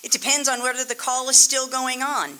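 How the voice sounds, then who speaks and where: A woman playing a measured chief telephone operator. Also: high-pitched, steady tone, bright, forceful tone. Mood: businesslike. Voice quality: measured, matter-of-fact. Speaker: radio drama actor. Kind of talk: live amateur radio play acting.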